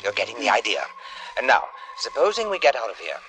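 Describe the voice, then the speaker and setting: An actor playing a sly, coolly wicked tempter who coaxes and insinuates, in the style of 1950s radio drama. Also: male voice, fairly low pitch, huge pitch swings, a crowd in the background, low-quality recording. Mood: sly, coaxing. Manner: insinuating, unhurried coaxing. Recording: vintage 1950s radio broadcast recording.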